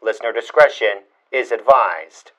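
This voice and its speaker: Bright, forceful male disclaimer announcer. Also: fairly low pitch, moderate pace, low-quality recording. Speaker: announcer of content warning. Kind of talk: scripted disclaimer.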